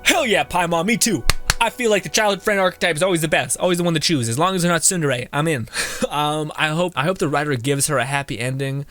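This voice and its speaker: A man's voice: fairly high pitch, quick pace, sharp tone. A young man doing casual, jokey game commentary and reading text aloud, with game music behind. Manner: giggly, informal, jokey. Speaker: game streamer.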